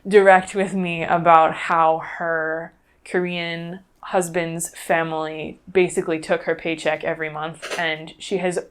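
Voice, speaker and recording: Woman interview guest, slightly low voice, talks through examples kinda haltingly and thoughtfully. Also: little pitch movement, clean tone. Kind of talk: conversational interview, recounting cases.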